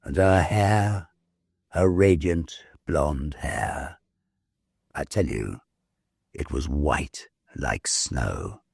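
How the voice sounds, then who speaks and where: A man reading a ghost story as dramatic narration, theatrical, measured and full of suspense. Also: a bass voice, creaky, darkish tone, breathy edge, no echo, clean studio sound.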